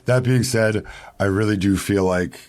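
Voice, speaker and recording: Very deep-voiced Canadian man. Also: moderate pace, darkish tone. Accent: Canadian English. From Quebec, Canada. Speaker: interview guest, bar owner and beverage director. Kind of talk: casual podcast interview.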